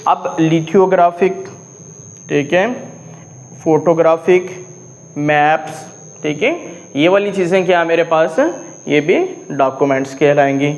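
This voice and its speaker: A man with a Pakistani accent lecturing as a law teacher, explaining a text point by point and often pausing to check understanding. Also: a little high. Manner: didactic, repeatedly checking understanding.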